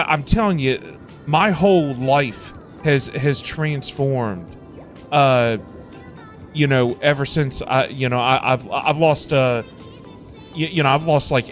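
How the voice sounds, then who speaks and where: A man hosting a livestream, chatting casually in a rambling way and repeating phrases for emphasis. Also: mid-range voice. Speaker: livestream host. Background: music playing in the background.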